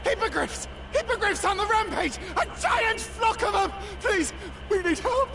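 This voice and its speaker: A man voicing a small, panicked messenger in a video game, shouting frantically and urgently for help. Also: very high-pitched, expressive, a little slow, vocal fry, background noise, exaggerated voice. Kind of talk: video game character acting.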